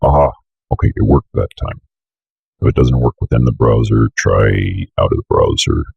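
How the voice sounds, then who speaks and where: A young man giving a casual, unscripted software walkthrough, offhandedly muttering to himself as he works, with a gravelly, raspy voice. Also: extremely low pitch, normal speed, dry recording, highs cut off. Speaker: tutorial host.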